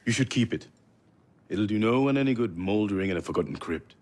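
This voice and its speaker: An actor playing a solemn, ceremonial assassin elder in a video game cutscene. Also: male voice, lowish voice, lively intonation, fairly quick, a little mellow, natural voice.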